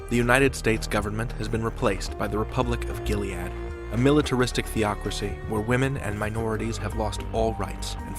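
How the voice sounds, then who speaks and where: Low-voiced male host over music. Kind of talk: scripted podcast introduction.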